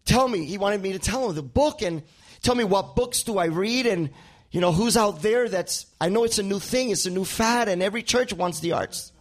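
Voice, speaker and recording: A man's voice: sits high, moderate pace. A male pastor, a native Spanish speaker with a Spanish accent, preaching a sermon and often repeating words as he builds a point.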